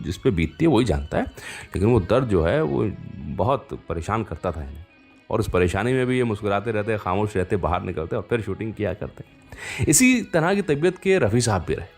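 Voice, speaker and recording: A man's voice: lowish voice, big pitch swings, brisk, breathy edge, faint music behind. A man hosting a radio show, chatting to listeners and telling anecdotes in a conversational way with frequent asides. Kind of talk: conversational radio presenting and storytelling between songs.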